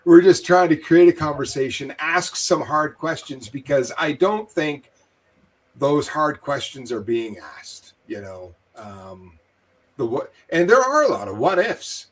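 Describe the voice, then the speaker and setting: A man's voice: a little high, lively intonation, a little slow. A man from western Canada co-hosting a casual live town hall, reading viewer comments and hedging his points with "you know.". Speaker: livestream co-host.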